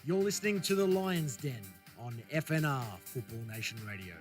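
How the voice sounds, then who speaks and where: A man reading radio adverts and station idents briskly. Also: a little high, sing-song. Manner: brisk, announcer delivery. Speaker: advertisement announcer. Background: faint guitar music.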